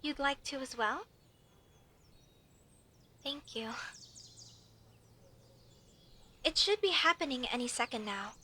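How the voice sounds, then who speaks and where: Woman acting a high, bright young elf mage. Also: measured pace, breathy edge, heavily acted. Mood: soft, sincere. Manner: expressive, chatty, mock-indignant at times, softening to sincere. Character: earnest young female elf mage, proud, playfully teasing. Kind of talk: comedic audio roleplay, speaking intimately to the listener.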